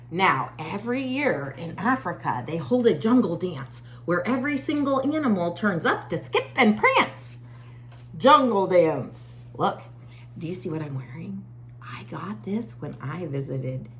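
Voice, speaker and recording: Woman, children's read-aloud, animated melody, drawn-out words, quiet chatty asides, faint background noise. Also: sits low. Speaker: children's story reader.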